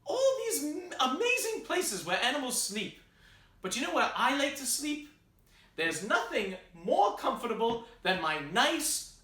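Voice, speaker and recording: A man voicing a fussy, excitable, theatrical lady who hosts a comic children's storytime in a fluttery, exclaiming manner. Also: very high voice, slightly rough, pitched above the performer's own voice, heavily acted. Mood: dreamy, pleased.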